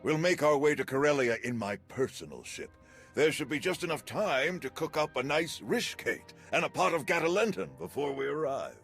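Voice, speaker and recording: An actor playing a commanding military general in a video game, authoritative and formal. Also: male voice, on the high side, animated, normal speed, vocal fry, a bit bright, soft background music. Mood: genial. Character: commanding, hospitable army general.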